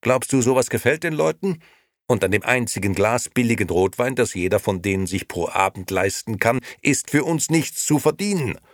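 A man's voice: on the low side, lively intonation, fairly quick, few pauses, a bit bright, no echo, clean studio sound, pitched above the performer's own voice. A man voicing a nervous, irritable, ambitious male innkeeper who justifies himself defensively, in an audiobook. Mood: exasperated. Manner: agitated, defensive, self-justifying. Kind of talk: audiobook character voice.

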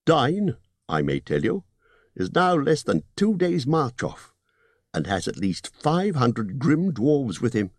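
A man voicing a fussy, polite male hobbit burglar who talks in a businesslike, slightly squeaky way. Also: sing-song, takes it slowly, husky, dry recording, heavily acted. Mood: persuasive.

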